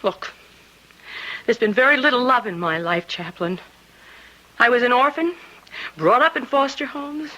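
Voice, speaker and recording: An actress playing an earnest young secretary torn by love and duty in a radio drama, hesitant and pleading. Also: female voice, on the high side, unhurried, long pauses, bright, forceful tone, low-quality recording. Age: young adult.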